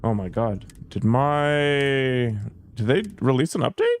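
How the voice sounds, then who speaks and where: A man's voice: medium pitch, expressive, slow pace, smooth, no echo. A man streaming a video game, chatting casually and crudely with his viewers and poking fun at himself. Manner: joking, crude, self-mocking. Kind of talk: casual gameplay commentary to chat.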